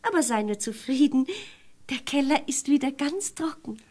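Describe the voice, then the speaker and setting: An actress playing a naive, well-meaning and scatterbrained young peasant wife in a fairy-tale radio play, chatting artlessly. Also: female voice, sits high, moderate pace, darkish tone, natural voice. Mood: innocent excuses. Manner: artless, chatty, talking to herself.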